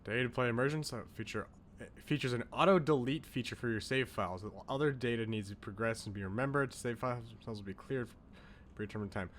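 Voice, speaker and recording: A man's voice: medium pitch, quick pace, a bit bright, slight background noise. Man hosting a casual game livestream. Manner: chatty, talks to viewers, rambling asides. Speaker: streamer, video game host. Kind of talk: casual livestream chat with on-screen text read aloud.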